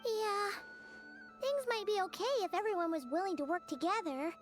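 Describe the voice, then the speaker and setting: Squeaky, very high-pitched little fairy companion. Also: female voice, expressive, moderate pace. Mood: determined. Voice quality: squeaky, childlike. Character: small plucky childlike fairy companion. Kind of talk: game voice acting. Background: game music.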